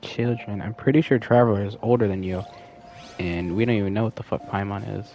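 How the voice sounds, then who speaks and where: A man's voice: on the low side, fairly quick, mellow, faint music behind. A man giving casual gaming commentary, slangy, irreverent and sarcastic. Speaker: gameplay commentator.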